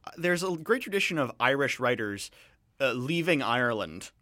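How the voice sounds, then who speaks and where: A man hosting a radio show, chatting casually about films in a rambling, digressive way with halting restarts. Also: medium pitch, sing-song, bright, forceful tone, dry recording, studio quality. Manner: rambling, digressive, halting with repeated false starts. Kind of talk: casual film review chat.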